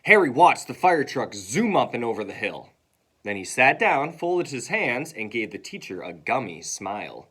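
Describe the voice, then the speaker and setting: A man reading a children's story aloud in an expressive way, acting out sounds and dialogue. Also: medium pitch, moderate pace, husky.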